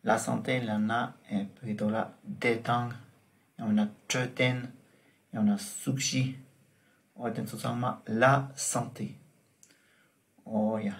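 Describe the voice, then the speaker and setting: A man teaching French vocabulary, slow and deliberate, repeating each word and example as he explains it. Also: on the low side. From Tibet. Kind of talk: vocabulary lesson explained in Tibetan.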